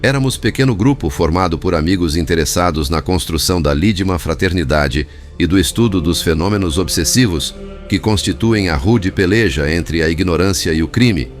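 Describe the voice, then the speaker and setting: A Brazilian man reading a spiritist book as scripted narration, in a formal, measured manner. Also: sits low. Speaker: audiobook narrator. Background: background music.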